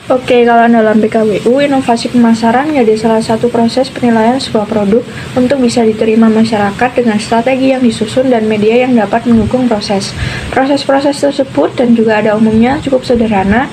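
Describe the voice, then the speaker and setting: A young woman with a fairly high voice. She speaks as a student podcast co-host, delivering scripted lesson talk in conversational form. The recording has clear vehicle-like background noise. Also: steady tone, fairly quick. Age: young adult. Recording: noisy, vehicle-like ambient rumble.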